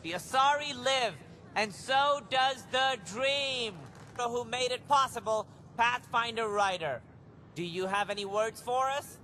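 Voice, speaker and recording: A man's voice: extremely high pitch, a little slow, bright, forceful tone, slight background noise, full character voice. An actor voicing a pompous, self-congratulating alien official man giving a public speech in a video game.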